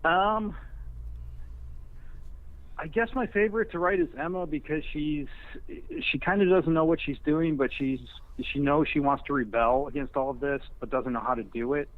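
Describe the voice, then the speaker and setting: A man giving casual interview answers as a guest screenwriter, halting and thinking aloud with many pauses. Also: on the high side, phone-quality sound.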